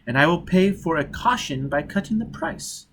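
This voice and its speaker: A man's voice: fairly high pitch, moderate pace, voice pushed up. Man putting on a wheezy, sly peddler. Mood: sly, probing. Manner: wheedling, obsequious, haggling. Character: fat, greasy, sly peddler posing as Italian cook. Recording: steady background noise.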